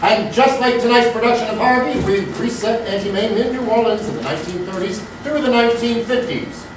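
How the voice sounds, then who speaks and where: A man's voice: high voice, a little fast. A man, a theater director, making a stage announcement about an upcoming show to an audience. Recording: very echoey, distant, loud room noise.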